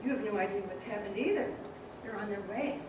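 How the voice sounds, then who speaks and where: An actress playing a loving, excited wife in a live stage radio play, tender and eager. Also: female voice, medium pitch, a little fast, natural voice. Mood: relieved. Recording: echoey theater, far mic, clear noise.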